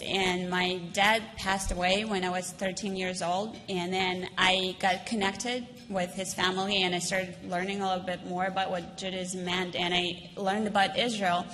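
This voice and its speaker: A woman of about thirty with a Ukrainian accent gives a personal speech as a guest at a dinner event, earnest and halting, repeating words as she goes. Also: mid-range voice, level tone, a bit bright. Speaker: guest speaker, school alumna. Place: large indoor event hall. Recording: noisy, reverberant hall recording.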